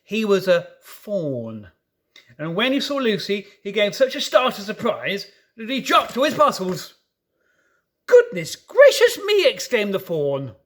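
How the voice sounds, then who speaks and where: A man narrates a children's storybook in a lively, highly varied voice that sits fairly high. His delivery is expressive and dramatic, with playful asides along the way. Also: measured pace.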